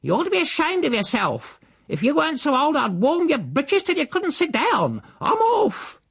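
A man voicing a scraggy, defiant, shrewish woman housekeeper in a story, shrill and scolding, with a rural English accent. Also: very animated, slow pace, gravelly, pushed and bright, exaggerated voice. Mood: outraged, scolding.

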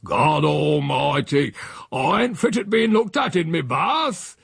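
A gruff, stubborn old countryman barks his demands in broad rural speech, as a man puts him on. The voice is gravelly and husky, with big swings in pitch, and it is recorded close and dry. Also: expressive, takes it slowly, no room sound, full character voice. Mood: grumbling. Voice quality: rough rural dialect speech. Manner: loud, grumbling, demanding.

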